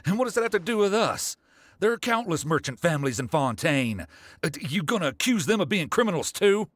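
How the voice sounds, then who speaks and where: A man's voice: on the high side, very animated, fairly quick, fairly bright tone, dry recording. An actor voicing a hot-tempered young male merchant in a game, defensive and stammering. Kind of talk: game voice acting. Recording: dry studio recording.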